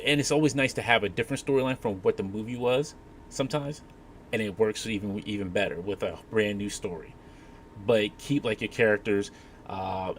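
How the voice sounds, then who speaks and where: Low-voiced man, halting panel talk. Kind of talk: casual opinion talk. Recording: faint background noise.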